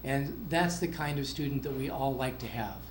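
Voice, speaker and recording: A man's voice: mid-range voice, normal speed, noisy background. A man giving an enthusiastic award introduction speech for a student at a school event. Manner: enthusiastic, proud. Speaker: school district official presenting award. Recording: echoey room with faint ambience.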